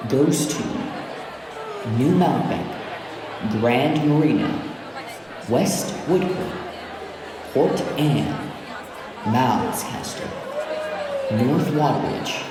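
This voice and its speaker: In a huge reverberant hall with crowd noise, a man delivers formal station announcements. He speaks slowly and enunciates each word with care. The delivery is scripted, like a public address. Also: mid-range voice, expressive. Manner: formal, deliberate, carefully enunciated. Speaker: English teacher voicing train station announcements. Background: busy station crowd ambience.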